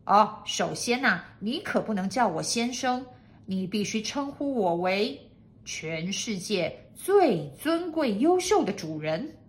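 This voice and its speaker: A bossy, eccentric lord from a storybook, played by a woman. The delivery is unhurried and pompous, with emphatic commands and swooping intonation. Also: mid-range voice, sing-song. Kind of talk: storybook character acting.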